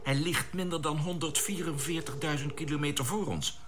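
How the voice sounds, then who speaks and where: An actor playing a composed, decisive spaceship commander who gives brisk orders in a science fiction radio drama. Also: male voice, fairly high pitch, fairly even intonation, background noise, lightly acted. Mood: calm, businesslike.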